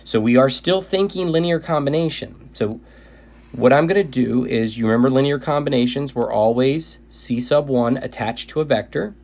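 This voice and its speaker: A man with a middle-pitched voice that has worn thin and hoarse from recording lecture after lecture. He walks through a math lesson patiently, often restarting and repeating his phrases. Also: normal speed, a little mellow. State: voice tired from recording many videos. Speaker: math teacher.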